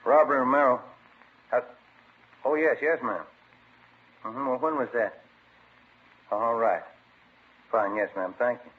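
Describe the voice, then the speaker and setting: An actor playing a plain-spoken American police detective, clipped and matter-of-fact, in an old radio crime drama. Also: male voice, mid-range voice, a little slow, pauses often, natural voice. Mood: businesslike. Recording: tinny, noisy old radio recording.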